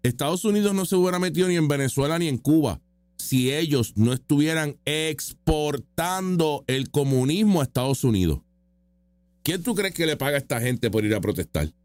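Mocking, higher-voiced man doing political commentary. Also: dry recording. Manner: mocking, opinionated, rhetorical questions. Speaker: political commentator reacting to street interview clips. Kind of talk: casual reaction commentary.

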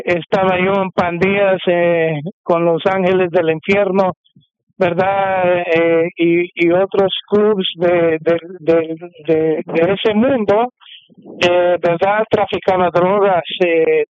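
High-voiced man, slow halting testimony. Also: smooth, no room sound. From United States. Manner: halting, many fillers and restarts. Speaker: interview guest. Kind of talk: testimony interview. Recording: dull muffled radio sound.

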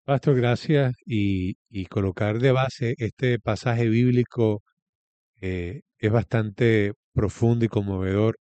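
A man's voice: on the low side, slow pace, warm tone, no echo, muffled recording. A man with a Venezuelan accent giving a reflective religious talk as a guest, repeating key phrases for emphasis.

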